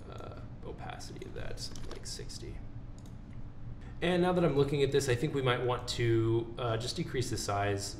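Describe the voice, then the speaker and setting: A man with a lowish voice talks through a software tutorial in an informal way, thinking aloud as he goes. The recording is clearly echoey and noisy, with computer keyboard typing behind him. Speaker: tutorial presenter. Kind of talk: casual screen-recorded software walkthrough.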